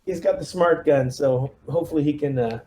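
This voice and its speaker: A man's voice: on the high side, a little fast, a crowd in the background. A man chatting casually as a player in a tabletop roleplaying session. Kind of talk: casual game-table chat.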